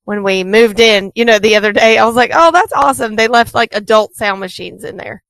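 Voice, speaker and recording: A woman's voice: a little high, a little fast, vocal fry, fairly bright tone, dry recording. A female radio co-host chatting on a morning show, wry and teasing. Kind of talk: casual morning radio banter. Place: radio studio. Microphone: studio microphone.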